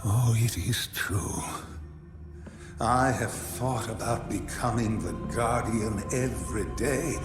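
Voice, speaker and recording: A resolute older archmage in a game cinematic. His voice is fairly low and very measured, with earnest, theatrical gravity. Loud music runs underneath. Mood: yearning, resolute. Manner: grave, measured, theatrical delivery. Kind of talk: video game cinematic voice acting.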